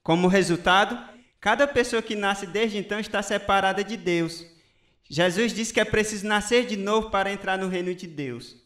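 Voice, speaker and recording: Earnest evangelist preaching, pretty high male voice, teachy, radio drama sermon. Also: moderate pace, fairly bright tone. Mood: earnest, persuasive. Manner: didactic, earnest.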